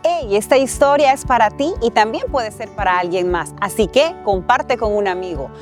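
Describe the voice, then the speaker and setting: A woman's voice: medium pitch, normal speed, soft background music. A woman from El Salvador reading an upbeat, inviting podcast promo.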